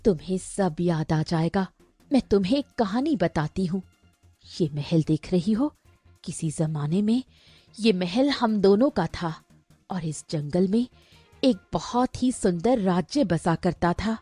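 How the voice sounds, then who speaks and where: A woman voicing a mysterious, menacing mirror spirit in an animated story, assured and ominous. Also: fairly low pitch, a little slow, slightly rough, no echo, soft background music. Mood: mysterious, knowing.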